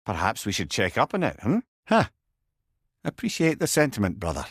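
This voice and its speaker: An actor voicing a clever, chatty old companion in a video game, with a Scottish accent and a wry, eloquent way of talking. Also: male voice, lowish voice, wildly swooping pitch, moderate pace, slightly rough, no echo, clean studio sound, heavily acted. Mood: thoughtful, explaining. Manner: wordy, eloquent, wry storyteller. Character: clever, chatty, sardonic old male severed head companion. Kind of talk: video game character dialogue.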